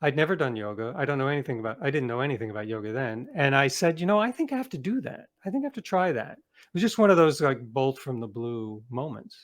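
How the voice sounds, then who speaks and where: A middle-aged man speaking as an interview guest, an author telling his story reflectively and conversationally, leaning on key words and trailing off softer. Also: medium pitch, sing-song, fast talker. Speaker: guest, author and podcast producer.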